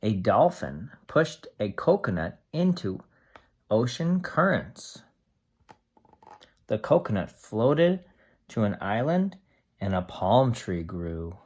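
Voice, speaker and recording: Slow, animated read-aloud voice for children, slightly husky. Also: medium pitch. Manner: explains simply to children, expressive storytelling. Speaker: teacher reading aloud to young children. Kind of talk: children's picture book read-aloud with asides.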